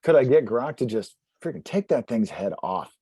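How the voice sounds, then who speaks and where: A man's voice: medium pitch, expressive, moderate pace, dark tone, husky, no room sound, clean studio sound. A man chatting casually and in slang as a player in a tabletop game.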